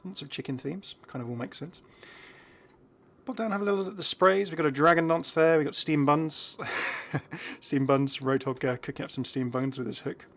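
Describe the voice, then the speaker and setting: A man's voice: a little high, normal speed, fairly bright tone. A man giving casual, enthusiastic game commentary in an informal, chuckly way. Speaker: video creator presenting game content.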